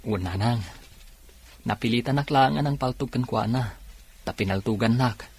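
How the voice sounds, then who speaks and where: A man's voice: medium pitch, normal speed, darkish tone, slightly rough. A man acting in a radio drama as an earnest, devoted young policeman.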